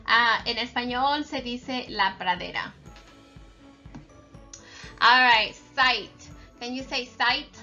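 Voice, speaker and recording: A woman's voice: on the high side, takes it slowly, long pauses, pushed and bright, highs cut off, soft background music. A woman teacher reading aloud and instructing young children, addressing them directly and stressing key words. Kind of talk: slow instructional read-aloud with call-and-response prompts.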